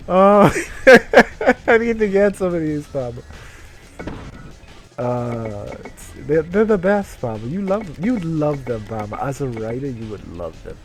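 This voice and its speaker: A man's voice: a little high, sing-song, slow pace, soft background music. A man doing a mocking dramatic reading with asides, sarcastic, profane and exclamatory. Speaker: streamer reading aloud.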